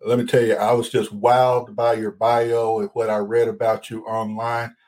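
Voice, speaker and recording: A man's voice: fairly low pitch, flat delivery, normal speed, darkish tone. A man hosting a parenting podcast, interviewing a guest in a friendly, familiar way. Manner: friendly, calls guest brother. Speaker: podcast host.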